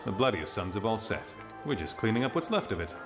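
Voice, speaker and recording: An actor playing a stern, weary military commander in a video game, speaking in a formal, commanding, clipped way. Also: male voice, on the low side, very animated, quick pace. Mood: weary, reflective. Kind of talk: scripted video game acting. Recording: game music behind the voice. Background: music.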